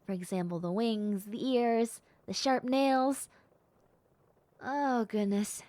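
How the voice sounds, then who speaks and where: A woman voicing a timid, excitable young bat-girl hybrid in a roleplay audio drama, polite and gushing, with hesitant little exclamations. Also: fairly high pitch, slow pace, no room sound. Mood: grateful, gentle, then reassuring, flustered.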